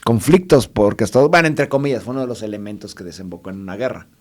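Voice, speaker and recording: A man with a Mexican accent talks loosely on a panel show. He wanders off on tangents and corrects himself mid-sentence, keeping the tone relaxed and conversational. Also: on the low side, fast talker. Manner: rambling, digressive, with frequent self-corrections. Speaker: co-host. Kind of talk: casual panel chat.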